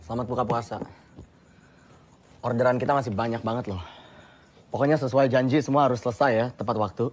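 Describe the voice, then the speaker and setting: An actor playing a stern, stubborn young small-business boss in a naturalistic film drama. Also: male voice, medium pitch, fairly even intonation, fairly quick, pauses often, darkish tone, natural voice. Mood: firm. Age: young adult.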